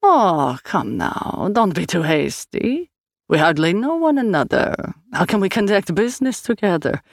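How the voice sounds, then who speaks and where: A man's voice: sits high, very animated, gravelly, no room sound, clean studio sound, pitched above the performer's own voice, heavily acted. A man doing a flamboyant sing-song Scandinavian dealer. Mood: mock-wounded. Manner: theatrical, drawn-out teasing.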